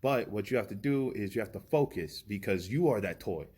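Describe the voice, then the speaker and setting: A man's voice: on the low side, animated, a little fast, a little mellow, breathy edge. A man in his early thirties talking earnestly and reflectively as a podcast co-host, giving motivational advice. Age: 30s. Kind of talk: casual podcast chat.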